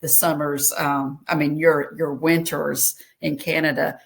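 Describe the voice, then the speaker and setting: Female host, low voice, chatty and friendly, asks open questions. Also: flat delivery, unhurried, vocal fry. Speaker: podcast host. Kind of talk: interview chat.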